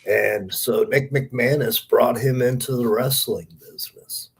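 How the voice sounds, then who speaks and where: A man's voice: medium pitch, steady tone, a little slow, creaky. A man co-hosting a casual podcast, explaining bits of history in conversation. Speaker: podcast co-host.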